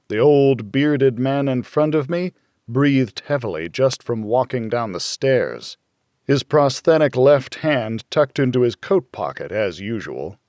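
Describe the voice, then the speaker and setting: Male narrator for noir thriller audiobook: brooding, slow-paced, very expressive range, tight dry studio sound. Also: medium pitch, no echo. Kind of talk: scripted first-person thriller narration.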